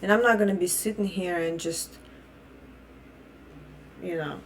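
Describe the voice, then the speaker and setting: A woman's voice: fairly low pitch, measured pace, slight background noise. A young adult woman from Russia, a native Russian speaker, ranting bluntly with heavy swearing and repeated restarts in her phrasing. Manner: blunt, heated and profane. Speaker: vlogger. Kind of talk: opinion rant.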